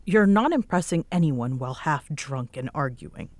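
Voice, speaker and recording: A woman's voice: low voice, lively intonation, moderate pace, no room sound. A woman playing a tired, sarcastic bartender roommate who teases but is secretly fond, in an intimate roleplay audio. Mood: drowsy teasing. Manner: sarcastic, teasing, hesitant when sincere. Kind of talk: roleplay audio acting, intimate one-sided conversation.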